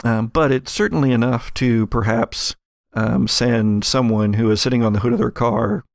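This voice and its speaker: Male game master, gravelly and dry-recorded, halting but careful storyteller for tabletop play. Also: medium pitch, steady tone, no room sound. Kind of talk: narrating an actual-play tabletop roleplaying game.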